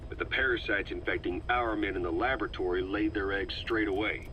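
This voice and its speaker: A man's voice: on the low side, steady tone, fairly quick, dull audio, slight background noise, close to an everyday voice. An actor playing a serious middle-aged military commander in a game, questioning tersely as he probes for answers. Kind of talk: investigative dialogue in dramatic game voice acting.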